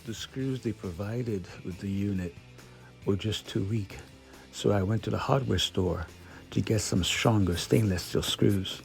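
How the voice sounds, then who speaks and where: Male DIY vlog narrator, gravelly low register, recounting installation steps. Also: unhurried. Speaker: DIY van build vlogger. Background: background music.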